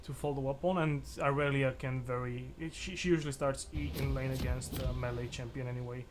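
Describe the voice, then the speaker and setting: Halting male esports co-commentator, mid-pitched. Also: fairly even intonation, crowd noise. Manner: halting, repeats words as he starts sentences. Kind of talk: casual game analysis.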